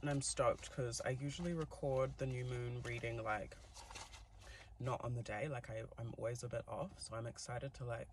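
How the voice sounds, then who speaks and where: A man's voice: mid-range voice, fairly even intonation, normal speed, a little hiss or hum. A man with a New Zealand accent, giving a chatty, giggly tarot reading with plenty of fillers. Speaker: tarot reader. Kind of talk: casual tarot reading to camera.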